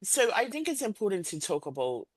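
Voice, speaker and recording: A woman's voice: mid-range voice, sing-song, fairly quick, no room sound. A woman hosting a podcast, talking conversationally and reflectively with emphasis as she interviews a guest. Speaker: podcast host.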